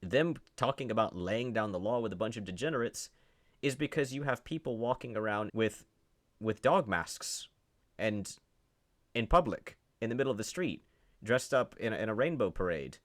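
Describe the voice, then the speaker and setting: Low-pitched man, sarcastic commentator. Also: animated, moderate pace. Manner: sarcastic, incredulous. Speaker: commentary host. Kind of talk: unscripted commentary, reading posts aloud and reacting.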